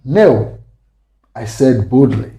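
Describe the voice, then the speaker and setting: Storybook role: bold, calm, vengeful girl played by a man, halting and deliberate, soft-edged tone, very unhurried. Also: mid-range voice, gravelly. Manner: slow, deliberate, halting phrases. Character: bold young girl, calm, vengeful, recounting her past. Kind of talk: dramatic first-person storytelling.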